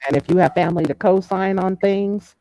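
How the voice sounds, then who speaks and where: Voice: mid-range voice, fairly quick, mellow, dry recording. A person in a casual group discussion, speaking emphatically and often repeating phrases for effect. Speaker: panelist in a true crime discussion. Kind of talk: casual chat, speculating in a group call.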